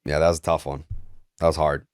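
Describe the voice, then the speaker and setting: A man's voice: rumbling low, little pitch movement, quick pace, clear, resonant tone, no echo, studio quality. A man co-hosting a sports podcast, bantering casually in a blunt, teasing way. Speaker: podcast co-host.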